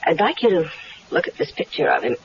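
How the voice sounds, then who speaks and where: A woman's voice: low-pitched, big pitch swings, quick pace. A woman voicing a scheming, seductive wife who coaxes a man toward murdering her husband, in a 1970s radio drama. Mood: coaxing. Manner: coaxing, persuasive. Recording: dull, faintly hissy 1970s radio recording.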